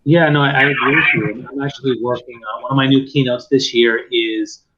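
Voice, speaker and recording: A man at an ordinary middle pitch hosts an interview. He talks thoughtfully, sets out his points in order and uses frequent fillers. His audio sounds slightly muffled and lo-fi. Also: fairly even intonation, fairly quick, heavy background noise. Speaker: interview host, keynote speaker. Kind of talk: casual interview conversation.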